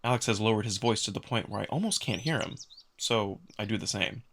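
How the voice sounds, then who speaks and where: A man's voice: lowish voice, a little fast, a bit bright, slight background noise. A man giving a dramatic first-person narration of a visual novel, acting as narrator. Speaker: narrator and voice actor.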